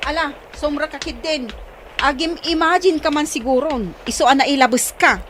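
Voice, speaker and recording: A woman's voice: sits high, moderate pace, a bit bright, a little hiss or hum. A woman acting a bossy but caring elder sister figure with an Ilocano accent in a radio drama. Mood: surprised.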